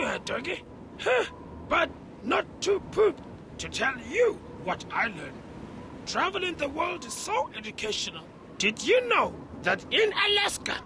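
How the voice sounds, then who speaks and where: High gravelly furry monster, eager and chatty, swooping wildly, slow and formal, noisy background. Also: male voice, heavily acted. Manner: excitable, formal phrasing, exclaims loudly.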